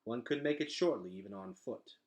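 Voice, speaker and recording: A man reads the part of a grave, commanding old man in a storybook. He leads an underground people and speaks in formal, solemn phrases, with authority and an animated rise and fall. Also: medium pitch, fairly quick, lightly acted. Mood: grave, measured. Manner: solemn, formal, urgent orders.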